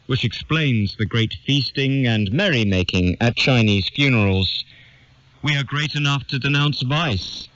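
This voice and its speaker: A man reads a literary essay aloud in a measured, formal, declamatory way. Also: mid-range voice, a bit bright, no room sound, lo-fi sound. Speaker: reader of an essay. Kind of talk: scripted narration, reading a literary essay aloud.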